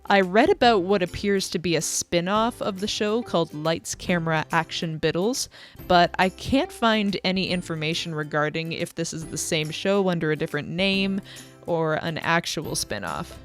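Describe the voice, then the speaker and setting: A woman's voice: lowish voice, lively intonation, moderate pace, soft background music. A young woman reading scripted video essay narration.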